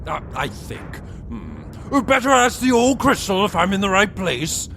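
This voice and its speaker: An actor playing a rambling, theatrical villain admiral in an audio drama, grandiose with hesitant, dithering asides. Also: male voice, high-pitched, huge pitch swings, measured pace, pushed and bright, slight background noise, exaggerated voice. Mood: grandiose, muttering. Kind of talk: audio drama acting in old-fashioned adventure style. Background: music.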